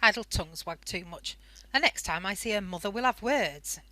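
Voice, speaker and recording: A woman's voice: mid-range voice, animated, moderate pace, bright, forceful tone, dry recording, low-quality recording. A woman in a radio play acting a stern, protective wartime mother, clipped and scolding. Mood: snappy, scolding. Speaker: amateur radio play actor.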